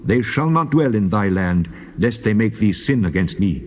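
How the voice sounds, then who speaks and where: A man's voice: lowish voice, expressive, normal speed, lightly acted. A man playing a solemn, authoritative God in a dramatized scripture reading. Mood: solemn, commanding. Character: God of Israel, male, commanding, solemn lawgiver. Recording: clearly noisy old cassette tape.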